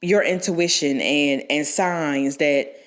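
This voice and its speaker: A woman's voice: low-pitched, takes it slowly. A young woman co-hosting a podcast, stuffed up from a cold, rambling through advice with many restarts and fillers. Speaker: podcast co-host. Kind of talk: casual advice chat.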